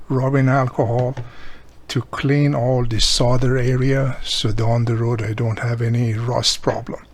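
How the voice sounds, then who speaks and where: A man giving a casual hands-on repair demonstration, explaining each step plainly as he works. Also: mid-range voice. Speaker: radio repairer and video host.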